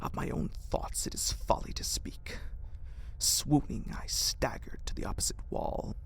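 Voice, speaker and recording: A man reading a horror story as whispered ASMR, theatrical and deliberate, with a breathy, husky voice close to the microphone. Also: a bit bright. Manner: theatrical, deliberate phrasing with dramatic swells.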